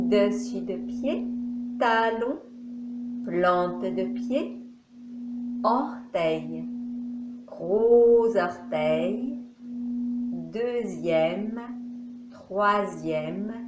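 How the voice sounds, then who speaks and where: Very slow, calm woman guiding relaxation. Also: mid-range voice, reverberant, heavy background noise. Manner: slow, soft, calm instructions with long pauses. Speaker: yoga nidra teacher. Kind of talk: guided relaxation.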